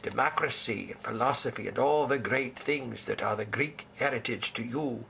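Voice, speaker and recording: Muffled noisy amateur video, guy acting as solemn spartan king, gravelly voice, formal speechy delivery. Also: mid-range voice, normal speed. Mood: proud, formal. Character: proud, solemn ancient Spartan warrior king being interviewed. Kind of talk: amateur historical role-play interview.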